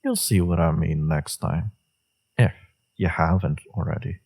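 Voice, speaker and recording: A man playing a smug, seductive villain in a romantic audio roleplay, teasing and sure of himself, with a low, gravelly, husky voice. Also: a little mellow. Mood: seductive, confident. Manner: teasing, self-assured, unhurried.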